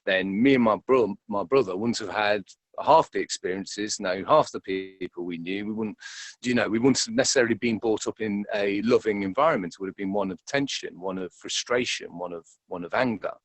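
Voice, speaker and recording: A man's voice: fairly low pitch, brisk, no room sound. A man reflecting in an interview, speaking hesitantly and repeating words as he thinks aloud. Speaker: interviewed actor.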